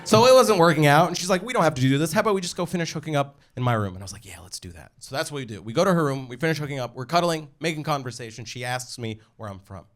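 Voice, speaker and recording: A man's voice: fairly high pitch, racing, hardly any pauses. A man doing stand-up comedy in front of a live audience, telling a story casually and acting out dialogue. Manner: casual, animated, swearing, acts out dialogue. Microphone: stage microphone. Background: audience laughter.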